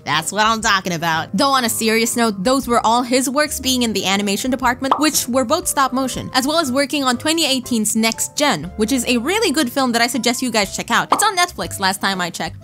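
A woman's voice: fairly high pitch, a bit bright. A woman giving a casual, joking scripted movie review in a sassy, playfully exasperated, slangy way. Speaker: YouTube video essayist. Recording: music bed under the voice. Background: background music.

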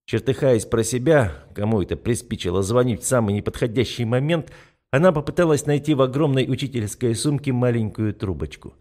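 A man's voice: mid-range voice, fairly quick, a little mellow. A man reading fiction as a scripted audiobook narration.

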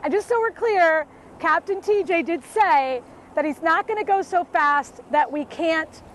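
A very high-voiced woman reports live for a morning show while riding a towed banana boat outdoors. She chats fast and excitably into a handheld phone, with faint wind and water noise around her. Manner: chatty, excitable, shrieking on the fast ride. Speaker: field reporter. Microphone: phone held by the reporter.

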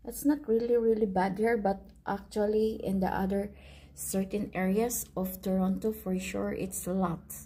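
A woman in her forties, born in the Philippines, vlogging casually on her phone from a high-rise apartment and balcony, pointing things out to viewers. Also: level tone, moderate pace, mellow. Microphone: phone at arm's length. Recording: phone recording with clear outdoor traffic noise.

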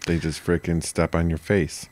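A man co-hosting a podcast in casual chat, laid-back with deadpan jokes. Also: deep voice, normal speed, no room sound. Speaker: podcast co-host.

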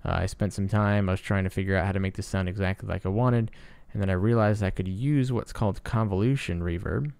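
A man with a lowish, gravelly voice narrates a casual tutorial. He explains things in a low-key way, recorded dry and close with little room sound. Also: quick pace, few pauses, a little mellow, no room sound. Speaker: sound designer, tutorial presenter.

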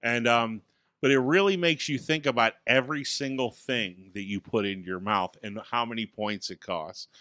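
A man in his forties, co-hosting a laid-back tech podcast, talks in an easygoing, self-deprecating way. His voice is bright and crisp. The sound is dry and close on a studio boom mic in a small treated room. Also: moderate pace, dry recording. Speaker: podcast co-host. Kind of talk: casual tech podcast chat. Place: small home studio with acoustic panels. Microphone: studio mic on boom arm.

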